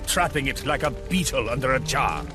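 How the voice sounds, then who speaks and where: A stern, wise samurai warlord in a game cutscene performed by a man, speaking deliberately and imperiously. His mid-pitched voice swings expressively, with music clearly behind it. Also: expressive, close to an everyday voice. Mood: stern, commanding. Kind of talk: dramatic game cutscene acting.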